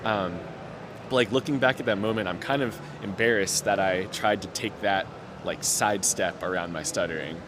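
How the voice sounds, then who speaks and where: Young man who stutters, low voice. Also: moderate pace. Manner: stutters, with repeated sounds and broken-off words; earnest, open, many fillers. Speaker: interviewee. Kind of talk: reflective personal story. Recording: noticeable outdoor background noise.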